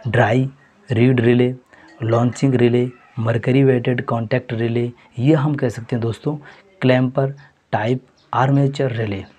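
A man with a husky, slightly breathy voice works through a mock test as a teacher. His pitch sits in an ordinary middle range. He repeats the answers and keeps calling his viewers friends. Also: fairly even intonation, a little slow, darkish tone. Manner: repetitive, addresses viewers as friends. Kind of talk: exam question walkthrough.

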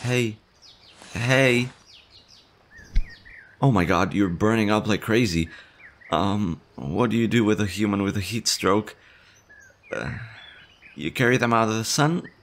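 A man's voice: lowish voice, animated, unhurried, pauses often, fairly bright tone. A man voicing a nervous, shy male slime monster in an audio roleplay, stammering and fretful as he tends to someone. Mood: worried. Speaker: voice actor.

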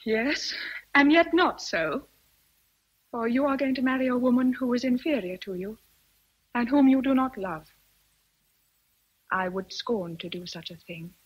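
An actress playing a proud, passionate young British governess, earnest and intense, in a period radio drama. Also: female voice, on the high side, a little slow, pauses often, husky, dull audio.

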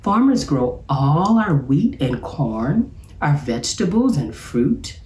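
A woman's voice: deep voice, sing-song, slow pace, darkish tone, far from the mic, full character voice. A woman voicing a cheerful, instructive male bear professor from a children's storybook, encouraging and emphatic, in an echoey room. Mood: upbeat, instructive. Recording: roomy, echoey sound.

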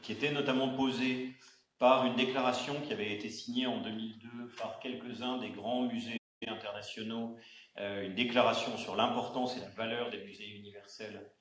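A man moderating a round-table discussion in an auditorium, speaking haltingly with many fillers and restarts. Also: mid-range voice, steady tone, fairly bright tone. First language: not Arabic. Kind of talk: hesitant spoken introduction at a panel. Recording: echoey auditorium sound.

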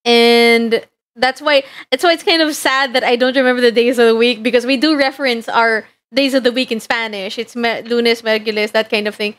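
Filipino-born young woman streaming, chatty and giggly, voice a touch high, lots of ums. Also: fairly even intonation, moderate pace, a bit bright. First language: Tagalog. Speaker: VTuber streamer. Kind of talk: casual live-stream chat while working.

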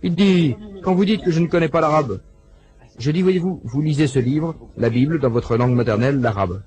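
A man delivers a translated voice-over of a lecture in a slightly raised voice. He tells the stories with lively swings in pitch and plays out every quoted exchange. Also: brisk, crowd noise. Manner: animated storytelling, acting out quoted dialogue.

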